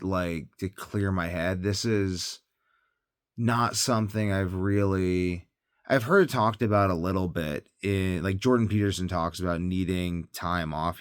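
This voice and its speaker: A man's voice: low-pitched, smooth, no room sound, studio quality. A tired man talking in a casual, rambling monologue as a health vlogger, hesitant and thinking aloud, often trailing off.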